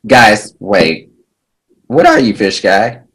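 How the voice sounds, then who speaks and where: A cocky hero read by a man in a script table read. The voice is rough-edged and takes its time, with lively swings in pitch. Delivery is casual and wisecracking. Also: takes it slowly, heavily acted. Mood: incredulous.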